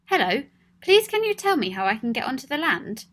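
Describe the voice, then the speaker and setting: A woman voicing a small, polite male fish who asks his questions quickly and eagerly. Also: sits high, big pitch swings, fairly bright tone, pitched above the performer's own voice, exaggerated voice.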